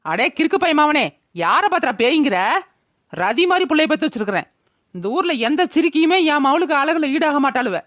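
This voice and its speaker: A woman voicing a sharp-tongued village mother in a cartoon, brash and scolding, with a rural Tamil Nadu accent. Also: high-pitched, very animated, fairly quick, dry recording, studio quality. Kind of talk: cartoon village drama voice-over.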